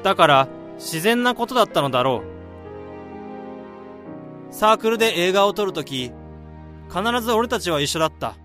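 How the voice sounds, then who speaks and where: A young man acting in a radio drama as a steady, caring friend, speaking in a measured, reflective way. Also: sits high. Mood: nostalgic. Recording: music and crowd sounds behind the voice. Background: music and crowd sounds.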